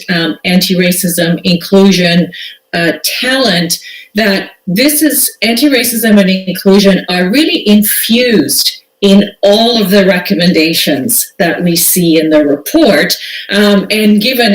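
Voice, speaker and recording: A woman's voice: mid-range voice, recorded from a distance. Middle-aged woman on a roomy video call. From Tanzania. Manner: earnest, many fillers and restarts. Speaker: panelist. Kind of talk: video call panel remarks. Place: home room with bookshelves. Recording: roomy video call audio, set back from mic.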